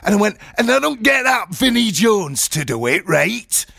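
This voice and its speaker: A man playing a cocky Yorkshire film actor and self-styled hard man, telling a boastful anecdote in a blunt, indignant comic monologue, husky and gravelly. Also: high voice, big pitch swings, normal speed, pushed and bright, dry recording, heavily acted. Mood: cocky, menacing. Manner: blunt, indignant storytelling with quoted back-and-forth.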